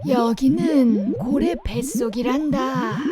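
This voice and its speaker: Slow, tender old grandfather, voiced by a woman. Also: high-pitched, animated, loud music behind the voice. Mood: concerned. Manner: slow, tender, elderly storybook delivery. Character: kind, loving old male grandfather.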